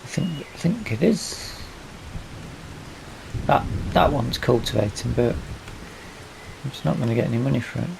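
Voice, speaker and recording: A man giving casual let's play commentary, thinking aloud to himself in a gravelly voice. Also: medium pitch, lively intonation, normal speed, pauses often. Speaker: gaming video host. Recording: noisy, rain and vehicle sounds. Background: farming game rain and vehicle noise.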